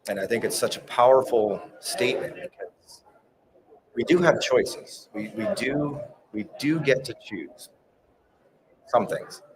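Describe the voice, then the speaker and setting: A man's voice: medium pitch, lively intonation, measured pace. A man in casual podcast chat, encouraging and reflective, often checking in with "right?". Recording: crowd noise at live event.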